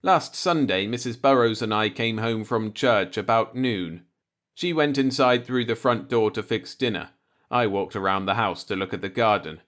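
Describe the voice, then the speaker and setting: A man's voice: lowish voice, normal speed, fairly bright tone. A man reading a light-hearted short story aloud as an audiobook narrator, in a scripted storytelling style.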